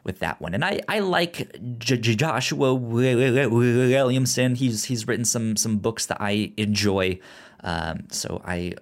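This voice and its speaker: Male podcast host, medium pitch, casual and conversational, with a halting, stop-start delivery. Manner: halting, often repeats word beginnings.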